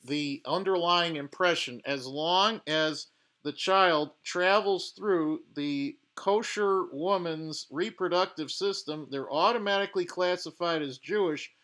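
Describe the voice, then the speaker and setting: Bright, crisp-voiced man reacting to clips. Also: fairly high pitch, unhurried. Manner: blunt, judgmental commentary with frequent uh fillers. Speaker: reaction video host. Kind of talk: commenting over paused clips.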